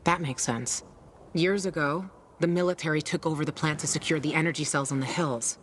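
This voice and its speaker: A woman's voice: low voice, a little fast, slight background noise, close to an everyday voice. An actress playing a tough, sardonic young woman guiding a newcomer, brash and teasing, in a game cutscene. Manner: brash, teasing, swears freely.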